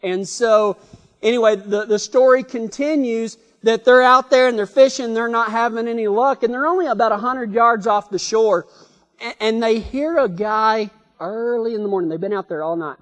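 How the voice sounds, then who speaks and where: A man with a Texan drawl preaches in a loose, storytelling way, restarting his sentences and chuckling as he goes. His voice sits high for a man and rises and falls, and it carries a long echo from a big hall. The tone is plain and folksy. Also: moderate pace, a bit bright. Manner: rambling, self-correcting, chuckling storyteller.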